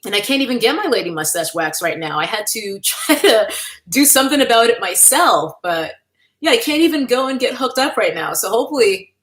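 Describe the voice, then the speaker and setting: A woman's voice: on the high side, expressive, brisk, fairly bright tone. A young woman talks to her viewers on a livestream from home, in an easy and candid way. She reads out the chat and answers it, and she laughs often between her thoughts. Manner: chatty and candid, with frequent laughs and playful asides. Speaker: livestream host. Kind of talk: casual livestream chat, reading the chat.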